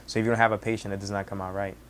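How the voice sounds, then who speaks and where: A young man in his twenties from Senegal with a Senegalese accent, Wolof his first language, telling his story as a cook in halting speech with many restarts. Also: on the low side, little pitch movement, a bit bright, a little hiss or hum. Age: 20s. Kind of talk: casual personal storytelling.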